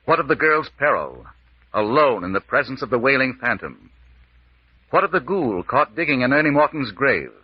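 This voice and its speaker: A man's voice: medium pitch, sing-song, moderate pace, slightly rough, no echo. A man acting as an ominous announcer for an old radio serial, speaking with theatrical portent. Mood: ominous, portentous. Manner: portentous, theatrical rhetorical questioning. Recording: dull old radio recording.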